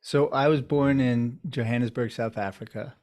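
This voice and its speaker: A man, a urologist being interviewed, answering in a measured, thoughtful storytelling way. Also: mid-range voice, steady tone, a little mellow. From United States, New York area. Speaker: urologist guest.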